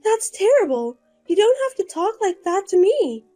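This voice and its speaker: A woman's voice: extremely high pitch, very animated, moderate pace, dark tone, soft background music, pitched above the performer's own voice. A woman voicing a scheming younger sister who taunts and boasts in a sing-song way. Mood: taunting.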